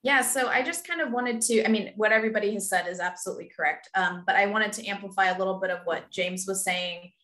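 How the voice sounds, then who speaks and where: A woman archivist speaks in a measured, earnest way on a video call panel. Her voice sits at an ordinary middle pitch. The room around her adds a clear echo. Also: bright, forceful tone.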